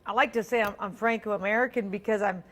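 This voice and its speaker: A woman's voice: medium pitch, steady tone, fast, a bit bright. Middle-aged woman from alberta, french her first language, rambly chatty interview guest, ums a lot. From Alberta, Canada. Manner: chatty, many ums and restarts, laughs easily. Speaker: singer-songwriter guest. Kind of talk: casual interview chat.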